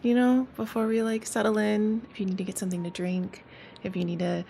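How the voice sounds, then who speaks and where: A woman narrates a storybook aloud in a gentle, unhurried voice. She keeps things casual, with soft fillers between passages, and her pitch is moderate and even. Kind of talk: cozy read-aloud narration.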